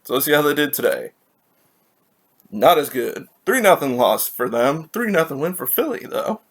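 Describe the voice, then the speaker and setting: A man from California hosting a casual solo hockey recap, rambling and correcting himself as he reads scores and stats, a little raspy from allergies. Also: fairly high pitch, big pitch swings, moderate pace.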